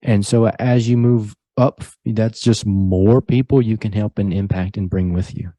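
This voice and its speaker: A man with a low, warm, mellow voice speaks as a guest on a podcast. He sounds earnest and motivational and keeps a steady delivery. The sound is dry and close, with no room echo. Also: a little slow. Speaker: podcast guest, real estate broker. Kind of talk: casual interview chat.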